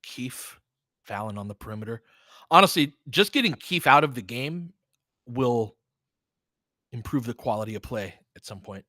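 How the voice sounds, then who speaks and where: A man's voice: mid-range voice, expressive, normal speed, pauses often, bright, forceful tone, no echo, clean studio sound. An American man calling basketball play-by-play in an informal, conversational, opinionated way, mixed with casual fan chat. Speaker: play-by-play host of a fan stream.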